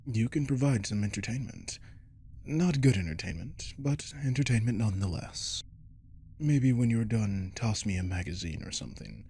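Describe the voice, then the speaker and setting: A man's voice: on the low side, expressive, moderate pace, warm tone, slight background noise. A man voicing a captive werewolf in an ASMR roleplay, cocky and predatory, with taunting, flirtatious drawls that often drop toward a whisper. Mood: bored sarcasm. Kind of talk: ASMR roleplay audio drama.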